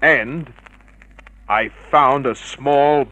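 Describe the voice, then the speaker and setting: An actor playing a cynical, unflappable private detective, terse and dry, in vintage radio drama style. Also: male voice, medium pitch, drawn-out words, bright, forceful tone, low-quality recording. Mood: hard-boiled narrating. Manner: terse, hard-boiled, dry. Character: cynical, unflappable male private detective narrating his case.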